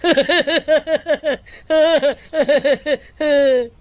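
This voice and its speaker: A woman's voice: high voice, steady tone, drawn-out words, no room sound. A woman voicing a greedy, cowardly male village farmer in a Hindi cartoon, with a wheedling, exaggerated manner. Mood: greedy glee.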